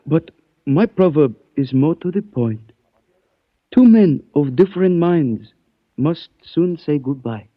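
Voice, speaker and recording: A suave, menacing schemer who trades in proverbs. His tone is formal and soft-spoken, a little higher than most men's. It comes through a dull, dry old radio recording. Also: animated, slow pace, dry recording. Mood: calm menace. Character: suave, menacing older Chinese man who speaks in proverbs. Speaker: mystery character in a radio drama. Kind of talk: 1940s radio acting.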